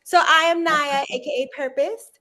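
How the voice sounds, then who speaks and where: A woman's voice: high-pitched, fairly even intonation, moderate pace, bright, forceful tone, a little hiss or hum. A woman in her early thirties co-hosting a casual group chat, upbeat and playful. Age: 30s. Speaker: co-host.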